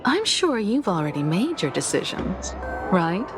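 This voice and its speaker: A woman voicing a calm, mysterious female guide in a video game, measured and cryptic. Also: mid-range voice, noisy background. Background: loud game music.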